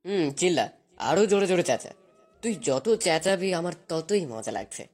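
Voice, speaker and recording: A man's voice: sits high, expressive, brisk, darkish tone. A man playing a cruel, obsessive young husband in a romantic audio drama, with a taunting, commanding manner. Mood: menacing, taunting.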